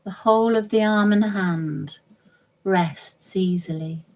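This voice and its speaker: A woman leading a guided relaxation as a yoga nidra instructor, speaking gently and soothingly in short, measured phrases with long pauses. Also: mid-range voice.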